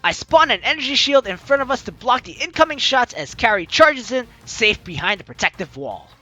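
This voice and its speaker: A man with a strikingly high, bright voice reads a visual novel aloud as a let's play host. His delivery is theatrical and dramatic, over background music. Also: sing-song, brisk. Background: game music.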